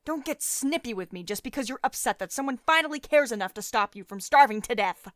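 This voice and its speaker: A woman's voice: on the high side, lively intonation, fast talker, fairly bright tone, no echo. Woman acting a doting, dominant CEO, close and dry. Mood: firm, exasperated. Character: wealthy dominant tech CEO woman, doting, possessive, confiding. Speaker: ASMR roleplay voice performer. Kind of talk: ASMR roleplay acting.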